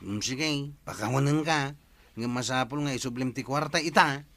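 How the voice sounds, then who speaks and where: Man acting as an aggrieved, bright-voiced Ilocano villager. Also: mid-range voice, normal speed, lightly acted. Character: aggrieved villager firmly demanding his stolen money back. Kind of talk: Ilocano comedy drama acting.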